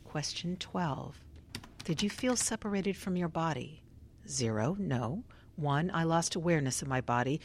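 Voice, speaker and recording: An actress playing a formal, probing government researcher in a radio drama, with clipped, measured questioning. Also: female voice, sits low, lively intonation, noisy background. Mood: clinical, procedural. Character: controlled, probing female government researcher running a clinical survey.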